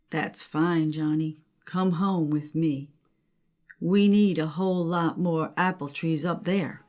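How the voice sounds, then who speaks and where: A woman's voice: lowish voice, mellow. An older woman, a grandmother, reading a children's storybook aloud.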